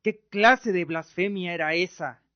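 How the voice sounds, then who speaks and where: A man's voice: high voice, a little slow, no room sound. A man giving dramatic scripted narration as the narrator of an audio drama. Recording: dull lo-fi recording with music. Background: music.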